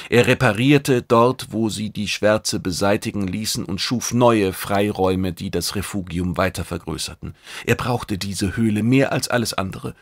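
A man's voice: low-pitched, vocal fry, husky, no room sound. A man reading a science fiction audiobook as a dramatic scripted narration.

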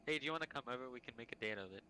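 A man's voice: medium pitch, animated, very fast, background noise. A man chatting with a friend on a voice call, teasing him dryly and laughing easily. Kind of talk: casual chat over voice call.

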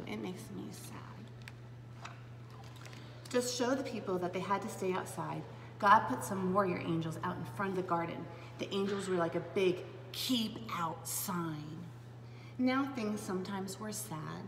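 Echoey woman reading a children's Bible storybook. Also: medium pitch. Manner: animated and dramatic, with gasps, hushed asides and questions to young listeners. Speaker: preschool storytime reader. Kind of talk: expressive read-aloud of a children's Bible storybook. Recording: roomy, echoing space with clear background noise.

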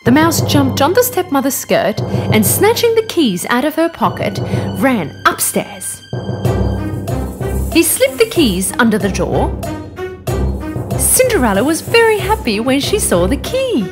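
Slow, highly expressive woman storyteller. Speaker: narrator. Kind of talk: scripted storybook narration. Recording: narration over background music.

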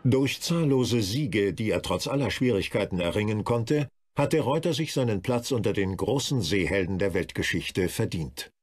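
A man's voice: fairly low pitch, animated, normal speed, few pauses, breathy edge. A man narrating a historical documentary from a script.

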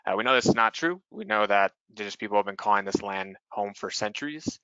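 A man presents in a webinar in an earnest, measured way, with a few fillers. His lowish voice is bright and crisp. The audio is dry and slightly dull, like a video-call mic. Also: animated, no echo. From US Pacific Northwest.

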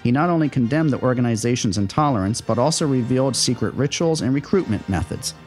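A man presents a radio history segment in scripted narration. His voice sits at a middle pitch, and faint music plays behind him as he reads. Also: lively intonation, fast, a little mellow.

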